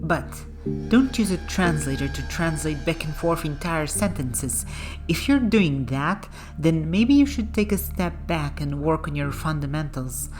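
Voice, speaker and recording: A woman host narrating a scripted voice-over about learning languages, in a calm, explanatory way. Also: deep voice, a little slow. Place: voice-over, not in the game. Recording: music bed behind the voice.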